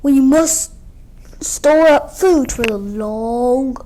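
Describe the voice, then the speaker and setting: Woman as a breathy, slow-talking mother bear. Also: high-pitched, warm tone, a little hiss or hum, low-quality recording. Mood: caring, slow. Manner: slow, drawn-out.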